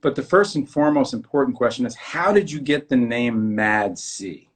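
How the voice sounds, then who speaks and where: A man hosts a casual interview in a mid-pitched voice. He talks with open enthusiasm and generous praise. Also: expressive, moderate pace. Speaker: host.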